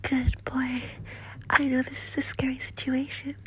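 A woman's voice: high-pitched, monotone, a little hiss or hum, rough recording. A woman as a whispering, doting nurse. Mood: whispery, doting. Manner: soft breathy whisper, slow and coaxing. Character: doting, flirtatious female nurse, motherly and teasing. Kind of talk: whispered ASMR roleplay.

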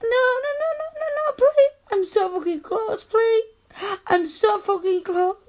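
Adult female, extremely high pitch, unhurried: actress as submissive lover for erotic audio, breathy, begging, eager to obey. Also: fairly bright tone, full character voice. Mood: ecstatic pleading.

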